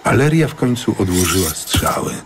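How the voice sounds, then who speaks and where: Low-voiced male audiobook narrator. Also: sing-song, moderate pace, background noise. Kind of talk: scripted dramatic audiobook narration. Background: music.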